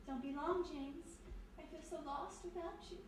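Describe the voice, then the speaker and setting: Woman doing sweet clingy society lady, radio play, high voice, really echoey and distant. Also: moderate pace, very noisy, natural voice. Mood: clinging. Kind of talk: radio mystery acting, live on stage. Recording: very echoey live stage, far from mic.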